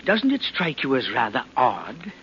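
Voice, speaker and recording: An actor playing a stolid police sergeant, questioning in a measured, official way in 1940s radio style. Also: male voice, medium pitch, huge pitch swings, pushed and bright, exaggerated voice. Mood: quizzical. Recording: muffled, noisy 1940s radio recording.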